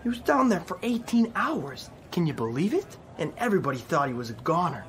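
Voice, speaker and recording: Actor doing chatty street newsboy, slangy, really bouncy pitch, radio play. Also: very animated, a little fast, a little hiss or hum, close to an everyday voice. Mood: eager. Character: street-corner newspaper boy, eager and chatty. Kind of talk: radio drama acting.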